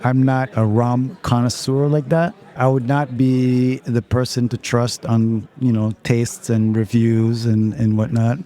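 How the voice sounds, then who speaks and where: A man with a raspy, hoarse voice and a mellow, warm tone, talking at an everyday middle pitch. He chats earnestly as a podcast guest and keeps dropping "you know" between thoughts. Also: little pitch movement, a little slow, few pauses. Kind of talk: casual podcast chat.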